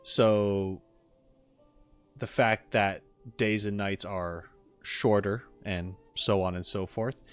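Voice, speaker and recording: Guy with a lowish voice thinking out loud, casual streamer chat, faint music behind. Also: fairly even intonation, slow pace, smooth. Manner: musing, thinking aloud. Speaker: streamer reacting to game trailers and voice lines. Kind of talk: casual off-the-cuff commentary.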